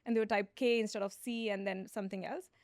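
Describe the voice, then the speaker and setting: A woman's voice: medium pitch, fairly even intonation, fast talker, no room sound, clean studio sound. A woman founder as a podcast guest, explaining steadily with frequent fillers. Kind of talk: conversational interview.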